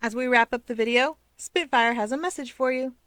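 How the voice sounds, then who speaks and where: A woman reading scripted fiction aloud as an expressive story narrator. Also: a little high, brisk, no echo.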